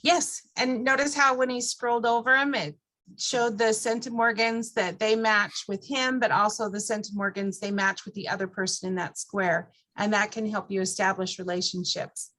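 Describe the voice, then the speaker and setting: A woman's voice: medium pitch, steady tone, moderate pace, bright, forceful tone. A woman instructor talking informally to a group, explaining things in an encouraging way. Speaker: class instructor.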